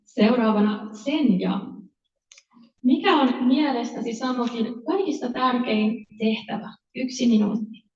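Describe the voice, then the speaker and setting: Female panel moderator, slightly high voice, reading questions; roomy, muffled pickup. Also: normal speed, darkish tone. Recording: dull, echoey room, distant mic.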